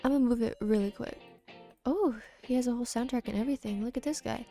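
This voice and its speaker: A woman's voice: on the high side, fast talker, warm tone, faint music behind. A woman streaming a game, commenting casually as she plays through it.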